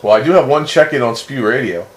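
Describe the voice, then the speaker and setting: A man in casual on-air radio chat, speaking haltingly and repeating phrases, heard over a two-way radio. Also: medium pitch. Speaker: amateur radio net operator. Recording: noisy radio transmission with static.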